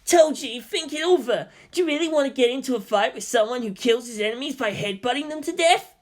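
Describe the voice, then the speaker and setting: A performer voicing an excitable, nerdy teenage boy who talks urgently and animatedly. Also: sits high, a little fast, pitched above the performer's own voice. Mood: excited alarm.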